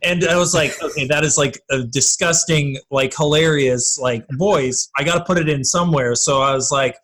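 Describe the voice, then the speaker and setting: A man's voice: a little high, moderate pace, a bit bright, rough recording. A man chatting casually and enthusiastically as a guest creator in a podcast interview. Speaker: podcast guest, comedy audio drama creator.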